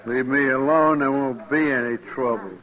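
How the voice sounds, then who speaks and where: An actor playing a touchy, possessive cowboy in an old-time radio western. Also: male voice, mid-range voice, normal speed, natural voice. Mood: defiant. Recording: dull old radio recording.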